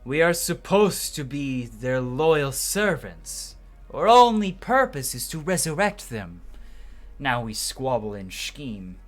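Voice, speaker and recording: A man's voice: medium pitch, big pitch swings, takes it slowly. A man voicing a zealous holy man from a video game, solemn and declamatory in his preaching. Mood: solemn foreboding. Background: game music.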